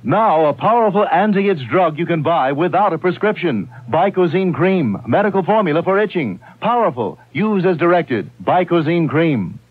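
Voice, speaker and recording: A man's voice: a little high, sing-song, normal speed, few pauses, breathy edge, dry recording. A man reading a punchy scripted radio ad as an announcer. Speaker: commercial announcer. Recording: dry, tinny old radio broadcast.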